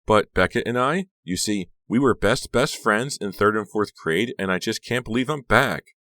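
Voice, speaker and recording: A man's voice: on the low side, normal speed, fairly bright tone, no echo, clean studio sound, voice pushed up, natural voice. A man voicing a gushing, clingy, overexcited teenage girl in an audiobook, with emphatic, repeated phrases. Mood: gushing, emotional.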